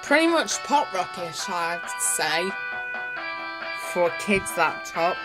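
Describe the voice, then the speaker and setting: A woman's voice: lowish voice, very animated, takes it slowly, rough recording. A woman presenting a casual product demonstration as a toy reviewer. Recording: electronic toy music behind the voice. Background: music from a children's toy laptop.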